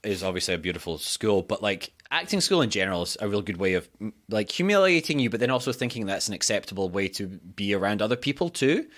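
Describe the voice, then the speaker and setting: Scottish comic in his thirties, low voice, lively swooping delivery, laughs and swears a lot. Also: fast talker, hardly any pauses. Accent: Scottish, softened. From Scotland, East Lothian. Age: 30s. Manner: self-mocking, swears freely, laughs often. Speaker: podcast guest, comedian. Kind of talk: casual chat.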